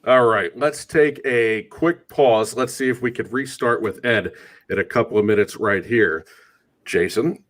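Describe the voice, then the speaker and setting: A man hosts a sports talk show with a mid-pitched voice. He delivers commentary in a measured, orderly manner, turning directly to his co-host as he moves things along. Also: a bit bright.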